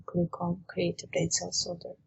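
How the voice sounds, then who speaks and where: A woman presenting a software webinar, explaining a step-by-step demonstration in a methodical way. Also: on the low side, monotone, moderate pace, vocal fry, poor audio.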